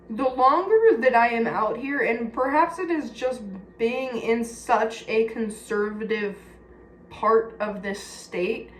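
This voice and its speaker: A woman with a Californian accent chatting candidly and casually to her viewers, confiding and blunt. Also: a little high. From California, United States. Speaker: personal vlogger. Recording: echoey room with faint background noise.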